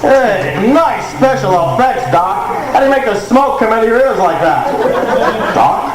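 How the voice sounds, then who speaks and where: A man's voice: sits high, lively intonation, a little slow, a loud crowd. A man playing a cocky, wisecracking secret agent in a parody radio play, with a breezy, flippant, hard-boiled delivery. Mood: breezy, teasing. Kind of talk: comedy radio play acting before a live audience. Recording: echoey old cassette of live stage performance.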